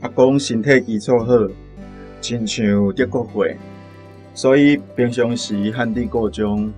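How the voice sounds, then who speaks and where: An actor with a Taiwanese accent playing a matter-of-fact doctor in a radio drama, explaining things plainly. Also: male voice, medium pitch, steady tone, measured pace, darkish tone, soft background music, close to an everyday voice. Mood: measured, resigned.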